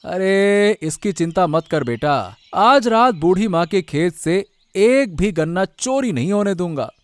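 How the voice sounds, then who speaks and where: A man's voice: high-pitched, big pitch swings, unhurried, no echo. A man playing a righteous, protective village uncle in a Hindi cartoon story. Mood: indignant.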